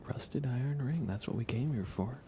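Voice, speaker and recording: A man doing soft-spoken ASMR game commentary as a live streamer, murmuring and sometimes slipping into a whisper. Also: mid-range voice, moderate pace, noisy background.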